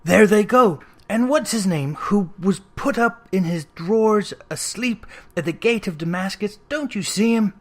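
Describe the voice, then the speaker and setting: An excitable old man from a storybook, acted out by a narrator. He speaks in fervent exclamations, a miser stirred by tender feeling and glee. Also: mid-range voice, a little slow, few pauses, natural voice. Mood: gleeful.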